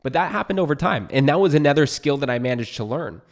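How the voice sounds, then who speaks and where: A man's voice: on the high side, animated, quick pace. A young adult man from California with an American accent, talking to camera in a casual, unscripted way, earnest and encouraging like a YouTuber giving advice. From California, United States.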